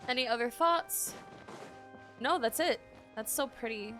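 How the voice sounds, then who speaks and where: A woman's voice: fairly high pitch, unhurried, a bit bright. A woman streamer giving casual, exclamatory, giggly reactions to a game. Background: game music.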